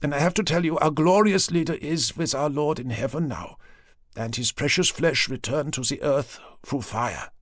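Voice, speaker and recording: A man's voice: fairly high pitch, moderate pace, a bit bright, no echo, clean studio sound, pitched above the performer's own voice. A man voicing a cruel, fanatical old German woman, speaking with theatrical mock tenderness. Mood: mournful.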